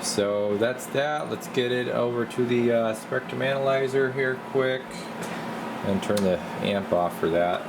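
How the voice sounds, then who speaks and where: Male technician, deep-ish fry-edged voice, informal demo narration, noticeable background hum. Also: unhurried. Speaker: radio repair technician demonstrating his work. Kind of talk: casual hands-on bench demonstration. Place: indoor radio workbench.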